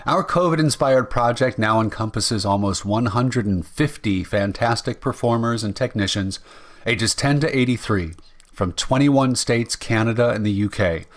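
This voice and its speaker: A man announcing as host of an old-time radio show, with measured, theatrical phrasing and deliberate pauses. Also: medium pitch.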